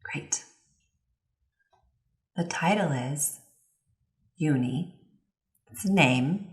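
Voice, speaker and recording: A woman giving an instructional voice-over interpretation, phrasing her explanations in a measured, clear way. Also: low-pitched, animated. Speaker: voice-over interpreter for a signing presenter. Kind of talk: instructional voice-over translating signed presentation. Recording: roomy, reverberant sound.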